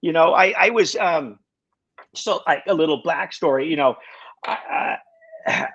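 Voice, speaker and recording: A man of about sixty, a guest in a casual conversation, talking in halting bursts with lots of restarts and wry self-deprecating jokes. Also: a little high, fairly bright tone, husky, lo-fi sound. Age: 60s. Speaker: podcast guest.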